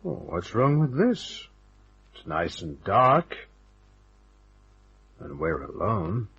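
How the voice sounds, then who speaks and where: A man's voice: fairly low pitch, sing-song, slow, fairly bright tone, slightly rough, exaggerated voice. An actor playing a wry, tough private detective in a radio drama, wisecracking and hard-boiled, who also narrates his case. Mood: flirtatious. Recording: dull, band-limited old radio recording.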